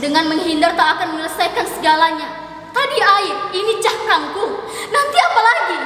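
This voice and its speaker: Adik perempuan muda, sinis dan suka membantah, dibacakan seorang perempuan; suara sangat tinggi, ruangan sangat bergema. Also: female voice, extremely high pitch, fairly quick, a bit bright. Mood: defiant. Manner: sarcastic, biting retorts. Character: young woman Narti, sharp-tongued younger sister in love. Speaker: dramatic reader. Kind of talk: dramatic reading of a stage script. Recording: echoey hall with faint noise.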